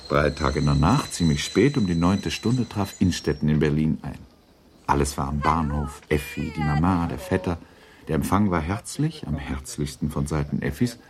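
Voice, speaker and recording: A man's voice: very deep voice, normal speed, slight background noise. A man narrating a literary radio drama in a composed, scripted storytelling style.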